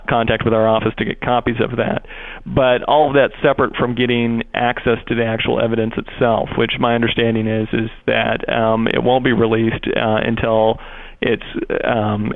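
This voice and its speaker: Guy prosecutor on a muffled phone call, monotone, wordy and kinda halting explanations. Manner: hesitant, wordy, procedural explaining. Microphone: telephone call. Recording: muffled low-bandwidth phone line.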